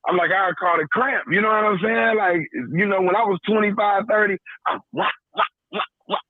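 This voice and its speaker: A man's voice: high-pitched, normal speed, no room sound. Middle-aged man on a tinny phone line. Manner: storytelling, expressive. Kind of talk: casual chat.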